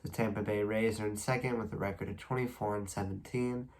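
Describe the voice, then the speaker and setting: A man's voice: on the low side, fairly quick. A young man with a stuffy, congested nose and a slightly worn voice, casually recapping baseball standings as a video host and rambling a little between stats. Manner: reads stats at a steady clip, rambling asides. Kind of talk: casual solo sports recap.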